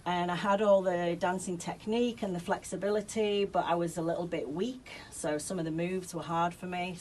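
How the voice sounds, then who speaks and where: A woman's voice: lowish voice, darkish tone, a little hiss or hum, rough recording. A woman with a strong Yorkshire accent answering interview questions in an upbeat, chatty way. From Yorkshire, England. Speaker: interview guest, co-host.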